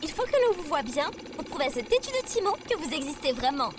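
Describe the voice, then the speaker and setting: Super high bubbly robot lady, swoops around a lot, noisy background. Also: sing-song, fast. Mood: mischievous, determined. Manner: bubbly, theatrical, formal address. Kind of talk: audio fiction comedy acting.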